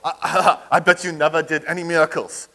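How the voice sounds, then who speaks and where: A man's voice: on the high side, little pitch movement, fairly quick, bright, forceful tone. An actor in a comedic staged reading playing a blustering, scoffing skeptic who stammers as he starts. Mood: indignant, scoffing. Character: blustering skeptical man, stammering, naive admirer of tyrants.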